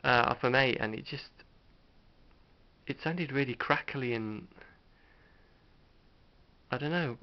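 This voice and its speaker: Creaky-voiced British man, dull recording. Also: medium pitch, slow pace, pauses often. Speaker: video host showing a tape collection. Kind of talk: casual unscripted show-and-tell vlog.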